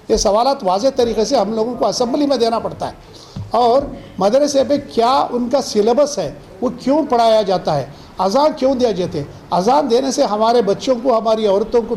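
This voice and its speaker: A man's voice: high voice, a little mellow. A man giving a formal, explanatory press statement as an official spokesman. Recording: clearly noisy background.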